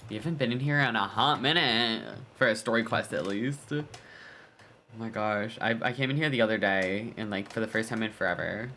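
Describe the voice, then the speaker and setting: A man's voice: lowish voice, a little fast, bright, forceful tone, slight background noise. A man giving casual live reaction commentary to a game, gushing and exclamatory with frequent gasps.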